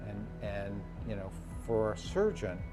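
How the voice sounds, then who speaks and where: A man's voice: fairly low pitch, sing-song, measured pace. A man speaking as an interviewed medical expert, explaining things in unscripted speech. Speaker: doctor interviewed for news report. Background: music.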